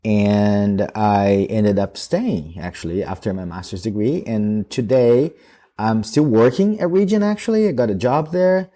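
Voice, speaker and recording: Thirties male guest with a Brazilian accent, low and mellow, measured and thoughtful. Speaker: guest, theology student. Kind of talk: casual interview.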